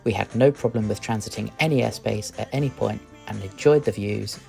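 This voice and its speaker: A man's voice: lowish voice, a little fast, creaky, darkish tone, soft background music, low-quality recording. A man reading scripted voice-over narration for a flying vlog.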